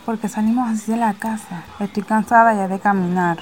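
A noisy radio drama recording of a woman playing a weary young child. The voice is plaintive and sleepy, at a middling pitch. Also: fairly even intonation, a little fast, lightly acted. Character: tired, cold, sleepy young child complaining to mother. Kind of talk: amateur radio drama acting.

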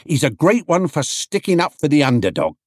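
Market trader, played by a male reader: opinionated, folksy storybook busybody, raised pitch, very lively delivery. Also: a little fast, no echo, studio quality. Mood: advising. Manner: folksy, colloquial, emphatic. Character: chatty, opinionated male market stallholders, full of advice.

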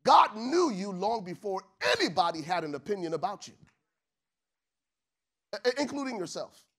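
A man's voice: high-pitched, very animated, moderate pace. Man from Liberia preaching with big swings. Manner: preacher's call-and-response, big swings from soft to shouted. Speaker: pastor. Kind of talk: sermon. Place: church stage with live congregation.

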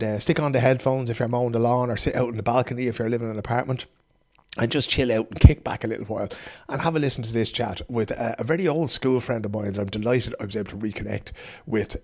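A man's voice: medium pitch, a little mellow. A man with an Irish accent hosting a podcast, chatty and jokey in a colloquial way. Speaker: podcast host. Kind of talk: casual podcast chat.

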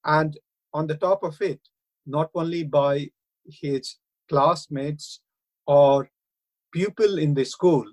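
A middle-aged man with an Indian accent, speaking earnestly and haltingly in a radio interview, with frequent hesitations. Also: fairly high pitch. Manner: earnest, hesitant, many uh fillers. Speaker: councillor and university lecturer.

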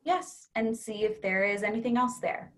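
A woman reading a calm, matter-of-fact person. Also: medium pitch, normal speed, natural voice. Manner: matter-of-fact, plain, flatly agreeing. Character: calm adult person who rearranged room. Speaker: actor reading first character. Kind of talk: readers theatre play reading.

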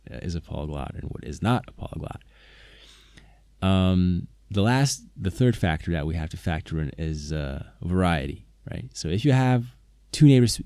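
Deep dark-voiced guy, slovak first language, lots of ums and restarts, dry close sound. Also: no room sound. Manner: hesitant, many fillers and restarts. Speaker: polyglot video maker, interpreter. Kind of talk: casual talk to camera.

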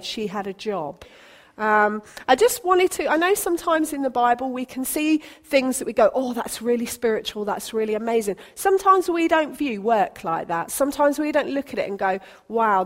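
A woman pastor from Britain, preaching a sermon in a chatty, encouraging way with light humour. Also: fairly high pitch. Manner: conversational, encouraging, self-deprecating humour.

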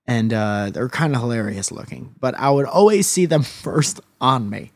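A man's voice: medium pitch, expressive, normal speed, dry recording. Playful rambling male podcast host. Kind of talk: casual banter.

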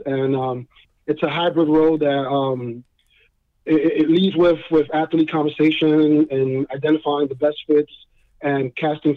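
A man's voice: on the high side, no echo. Man on a tinny phone line. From New York, Dominican family. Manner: friendly, many fillers and restarts. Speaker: guest. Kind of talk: casual interview chat.

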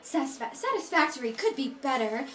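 A woman's voice: sits high, big pitch swings, a little fast, fairly bright tone, echoey room, a little hiss or hum. A young woman acting an obsessive, giddy, scheming fifteen-year-old girl in love, gushing and intense, in a radio play. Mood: giddy appraising. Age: young adult.

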